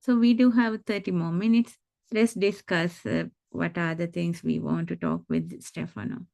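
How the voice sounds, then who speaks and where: A woman from Sri Lanka, moderating a club event and asking an earnest question, halting and searching for words. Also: fairly low pitch, fairly even intonation, gravelly, warm tone, no echo, studio quality. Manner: halting, with fillers and restarts, earnest.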